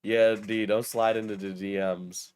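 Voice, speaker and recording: A hoarse young man with a cold, joking and swearing casually as he reads his chat on a livestream. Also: lowish voice, little pitch movement, unhurried, darkish tone, no echo. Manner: joking, self-mocking, swears. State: hoarse, sick. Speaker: streamer reading chat.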